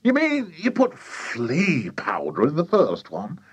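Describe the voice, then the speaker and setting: A man voicing an excitable, blustering, impatient father in a children's story, sputtering with excitement. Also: mid-range voice, moderate pace, husky, pitched above the performer's own voice. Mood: exasperated.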